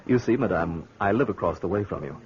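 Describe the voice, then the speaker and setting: Persistent charming suitor, low voice, theatrical, flirtatious, muffled vintage radio. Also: male voice, animated, quick pace. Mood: ardent. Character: smooth, persistent flirtatious gentleman stranger at a Paris cafe. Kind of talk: old-time radio drama acting. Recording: muffled old radio recording.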